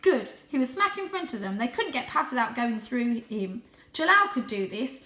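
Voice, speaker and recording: A woman reading a children's story aloud in an expressive, suspenseful, animated way. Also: on the high side, moderate pace, reverberant. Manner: animated, suspenseful, with gasped asides. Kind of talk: dramatic, expressive audiobook-style story narration.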